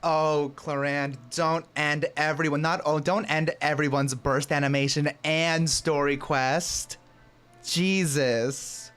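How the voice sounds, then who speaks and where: A woman's voice: low-pitched, fairly even intonation, slow, fairly bright tone, clear, resonant tone, soft background music. A woman game streamer chatting casually and reacting live with gushing, slangy exclamations.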